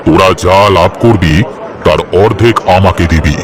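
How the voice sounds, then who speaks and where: A man's voice: low voice, moderate pace, a faint crowd, natural voice. A man voicing a bossy village moneylender in a cartoon story, with a blunt, commanding and folksy manner. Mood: condescending, easygoing. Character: gruff, bossy village moneylender, a man, soft-hearted underneath. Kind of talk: Bengali cartoon story dialogue.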